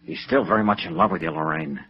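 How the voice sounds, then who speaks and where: Gravelly, steady radio noir investigator. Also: male voice, deep voice, quick pace. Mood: calm, persuasive. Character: steady male investigator patiently talking down a desperate woman. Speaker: actor in radio drama. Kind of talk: 1950s radio noir acting. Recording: dull lo-fi old radio recording.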